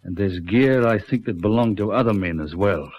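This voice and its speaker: An actor as a low-voiced police sergeant. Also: male voice, lightly acted. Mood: grave, urgent. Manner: deliberate, probing, blunt. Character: firm, no-nonsense male country police sergeant. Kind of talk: radio drama acting. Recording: dull lo-fi radio recording.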